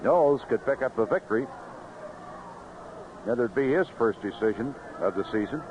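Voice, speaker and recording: A man's voice: medium pitch, animated, moderate pace. Man calling baseball on noisy vintage radio. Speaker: baseball play-by-play announcer. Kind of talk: live radio baseball commentary. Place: outdoor ballpark broadcast booth. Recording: dull, noisy vintage 1970s radio broadcast.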